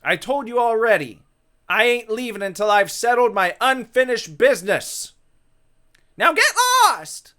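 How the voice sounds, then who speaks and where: A man voicing the ghost of a brash, crude young soldier, shouty and melodramatic, in a visual novel reading. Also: sits high, lively intonation, unhurried, exaggerated voice. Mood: defiant.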